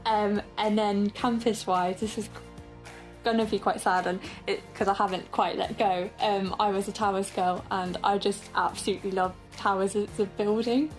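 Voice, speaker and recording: A woman in her twenties talks about her campus life as an election candidate. She is chatty and fond, filling pauses with ums, with soft music playing underneath. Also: mid-range voice, fairly even intonation, low-quality recording. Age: 20s. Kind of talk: casual interview answers.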